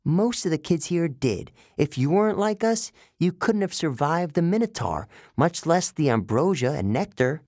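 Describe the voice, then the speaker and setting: A man voicing a smart, confident girl camper who explains things earnestly, in a higher voice than his own. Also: sing-song, moderate pace, few pauses, darkish tone, no room sound, studio quality.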